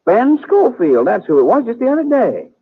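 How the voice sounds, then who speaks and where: Husky, folksy frontier blacksmith, swooping pitch. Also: male voice, huge pitch swings, moderate pace, no room sound, full character voice. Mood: recollecting. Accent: rural Western American. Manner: folksy, garrulous, drawling. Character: talkative, friendly small-town frontier blacksmith. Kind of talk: old-time radio western acting. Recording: dry, muffled old radio recording.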